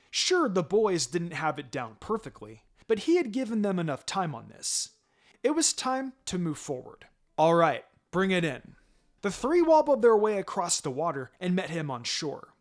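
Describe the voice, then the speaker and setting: A man reading a scripted story aloud as a dramatic narrator. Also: high voice. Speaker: fan fiction reader. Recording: music under the voice. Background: background music.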